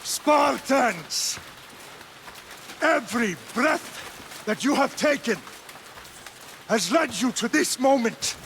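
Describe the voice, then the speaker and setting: A proud Spartan king in a game cutscene. He speaks slowly and solemnly, with a highly animated range that climbs very high in battle oratory. Loud rain and field noise surround him. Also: exaggerated voice. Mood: solemn, stirring. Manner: solemn, deliberate, commanding. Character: proud Spartan warrior king rallying his soldiers.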